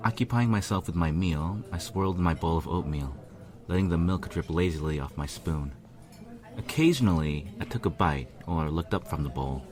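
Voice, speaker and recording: A man reading a first-person story aloud as narrator, with an earnest, measured, brooding delivery. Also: deep voice, a little mellow. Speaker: fan fiction reader, narrator. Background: instrumental music.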